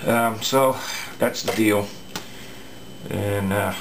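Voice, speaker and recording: A low, gravelly male voice explains an old radio repair in an unscripted, halting way, stopping often for fillers and restarts. A faint hiss of background noise sits under the recording. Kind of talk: casual workbench repair talk.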